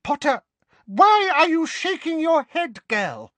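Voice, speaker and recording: Guy doing a high sugary lady official, coaxing and shrill, dry close sound. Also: wildly swooping pitch, a little slow, no room sound, full character voice. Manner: high, coaxing, falsely sweet. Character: simpering, sugary middle-aged female official.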